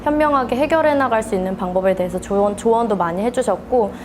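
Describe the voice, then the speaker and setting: A woman's voice: a little high, fast, noisy background. A woman tutor giving a sincere, reflective account in a testimonial interview. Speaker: tutor at an education company.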